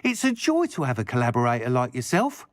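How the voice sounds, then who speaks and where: A man's voice: medium pitch, huge pitch swings, a little fast, no room sound, clean studio sound. An actor playing an eager male broom inventor, polite and effusive with thanks. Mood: grateful. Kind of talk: video game voice acting.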